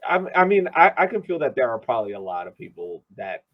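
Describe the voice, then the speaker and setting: A man co-hosting a soccer podcast in casual chat, halting and repeating words as he searches for phrasing, on dull lo-fi audio. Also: medium pitch, big pitch swings. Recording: dull lo-fi sound.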